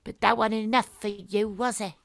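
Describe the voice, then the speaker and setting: Actress doing a nosy woman detective for an audio drama, knowing and accusing, creaky voice, really dry sound. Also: mid-range voice, fairly even intonation, normal speed, no echo, natural voice. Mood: pressing, triumphant. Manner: insistent tag questions, knowing. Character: probing, accusing woman investigator laying bare a secret.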